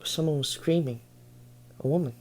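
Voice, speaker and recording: Guy acting shaken young schoolboy wizard, hesitant, dark mellow voice, audio drama. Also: on the high side, moderate pace, lightly acted. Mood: shaken, puzzled.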